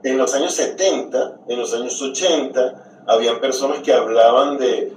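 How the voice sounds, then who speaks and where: Distant, roomy host. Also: male voice, mid-range voice, moderate pace, a little mellow, slight background noise. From Venezuela. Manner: questioning, hesitant with restarts. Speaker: podcast host, interviewer. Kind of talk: casual conversational interview.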